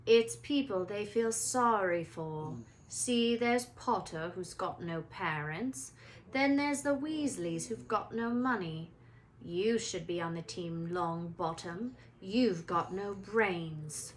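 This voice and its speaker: Woman acting as schoolboy bully, storybook character, sneering, mocking, drawn-out taunts. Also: mid-range voice, slight background noise, natural voice, low-quality recording.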